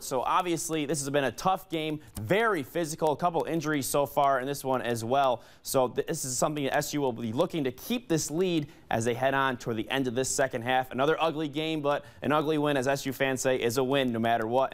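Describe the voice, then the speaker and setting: An American man delivers a sports update for television news. His voice is of middling pitch and he talks as a reporter on a game. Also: fairly quick, hardly any pauses.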